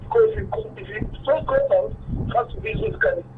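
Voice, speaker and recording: A man's voice: sing-song, fast talker. A man with a Nigerian accent explaining things casually as a guest on a talk show. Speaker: studio guest. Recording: distant, tinny, noisy low-fidelity sound.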